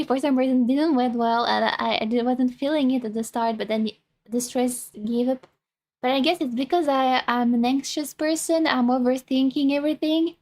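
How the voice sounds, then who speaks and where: A woman's voice: sits high, a little mellow. A young woman with a French accent, chatting casually as a VTuber streamer, rambling and self-conscious with many restarts. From France. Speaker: VTuber streamer reacting to a video. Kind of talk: casual chat.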